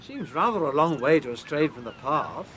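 Lively, inquisitive gentleman. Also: a little high, normal speed, slight background noise. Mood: probing, doubtful. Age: middle-aged. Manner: dry, questioning, well-spoken. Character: inquisitive, sceptical middle-aged English gentleman. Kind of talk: radio drama acting.

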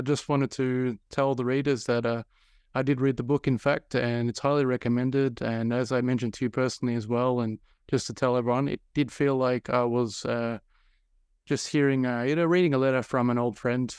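A man's voice: medium pitch, a little mellow, clean tone, no room sound, studio quality. A man hosting an interview, talking in a rambling way full of fillers. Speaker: podcast host. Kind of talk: casual interview.

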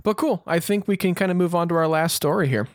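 A man's voice: high-pitched, fast, no echo, studio quality. A man co-hosting a fan podcast, speaking casually in an earnest, conversational way. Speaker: podcast co-host.

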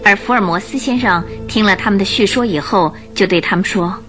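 A woman narrating a scripted Chinese radio drama in an expressive storytelling manner. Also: mid-range voice, brisk, music in the background.

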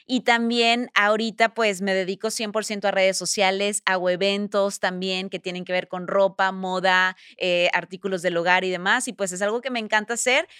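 Upbeat young woman with smooth voice. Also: mid-range voice, fairly bright tone, dry recording, studio quality. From Mexico. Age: young adult. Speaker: guest. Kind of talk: interview conversation.

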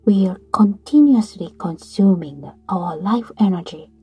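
A woman guiding a breathing meditation in a slow, soft voice that often drops to a whisper. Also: medium pitch, slightly rough. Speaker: meditation guide. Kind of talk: guided meditation narration. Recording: soft guitar music behind.

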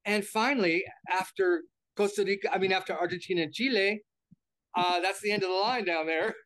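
An older American man with a high-pitched voice, chatting through an interview. He rambles, stumbling over repeated words and restarting his sentences. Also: a little fast, fairly bright tone. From United States. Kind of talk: casual interview talk.

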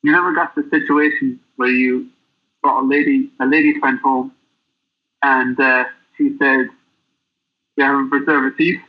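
A man's voice: mid-range voice, level tone. A man joins an interview as a guest over a tinny, phone-like line. He rambles through his stories and often stops and restarts. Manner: rambling storyteller, halting. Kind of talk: casual interview chat.

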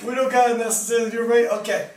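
A man's voice: very high voice, flat delivery, racing, far from the mic, slight background noise. A young man from Canada hosting a casual live interview show, chatty and laughing often, full of fillers. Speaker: host. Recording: roomy sound, mic set back.

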